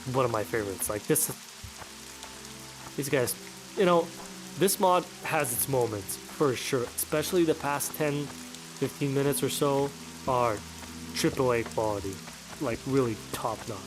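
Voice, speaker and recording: A man's voice: medium pitch, unhurried, pauses often, music in the background. A man giving casual commentary as he plays through a video game. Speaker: let's play creator.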